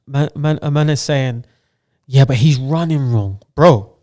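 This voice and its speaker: A man's voice: medium pitch, a little slow, warm tone. A man co-hosting a podcast in casual chat. He talks freely, makes his points with stories, and keeps checking in with "do you know what I'm saying". His speech is loose and conversational. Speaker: podcast co-host.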